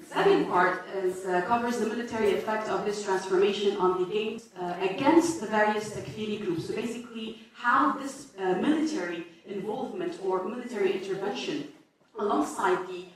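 A woman from Lebanon with a Lebanese accent presenting an academic paper, halting with many fillers and self-corrections. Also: medium pitch. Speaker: conference speaker. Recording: echoey hall from far off.

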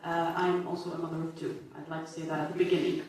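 A woman with a Lebanese accent presents her paper at a conference, pausing often on fillers and correcting herself mid-sentence. She stands back from the microphone. Her voice rings through a large, strongly echoing hall. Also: deep voice, little pitch movement, darkish tone, slight background noise. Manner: halting, many fillers and self-corrections. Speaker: conference speaker. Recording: echoey hall from far off.

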